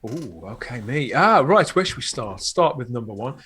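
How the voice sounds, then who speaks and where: Chatty male podcast guest, mid pitch. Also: big pitch swings, normal speed, fairly bright tone, breathy edge. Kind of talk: casual podcast discussion.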